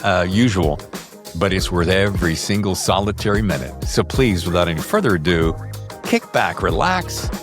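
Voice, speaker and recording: A middle-aged man hosting a casual interview show, talking in an excitable, geeky and slangy way. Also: deep voice. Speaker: podcast host.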